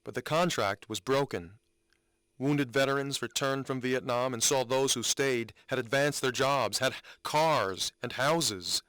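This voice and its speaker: Male reader as a solemn, earnest man; smooth mid-range voice, dry close recording, deliberate dramatic reading. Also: medium pitch, a bit bright, dry recording, natural voice. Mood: bitter, earnest. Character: earnest man honoring veterans, solemn and aggrieved. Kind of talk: dramatic reading of written commentary.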